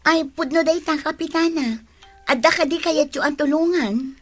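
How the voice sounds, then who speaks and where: A woman's voice: high voice, moderate pace, soft background music, natural voice. A woman playing a nagging wife in a radio comedy drama, scolding and questioning. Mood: brisk.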